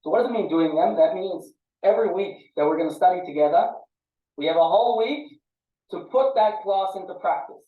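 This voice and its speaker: A man gives an informal lecture to a small class in a fairly high voice. He restarts and repeats words as he works through his explanation. The sound is thin like a phone line and comes with a strong room echo. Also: lively intonation, brisk, recorded from a distance. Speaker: class teacher. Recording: muffled phone-like audio, echoey, off-mic.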